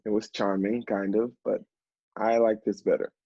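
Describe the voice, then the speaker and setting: Husky, earnest young professional played by a man. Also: lowish voice, flat delivery, moderate pace, dark tone, no echo, dull audio. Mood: gentle, awkward. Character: Sam, earnest, kindly sober male literary assistant. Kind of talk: stage play acting.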